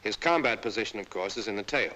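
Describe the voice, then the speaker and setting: A man giving scripted instructional narration for a military training film, talking to the viewer directly as "you" in a brisk way. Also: fairly low pitch, animated, bright, forceful tone, low-quality recording.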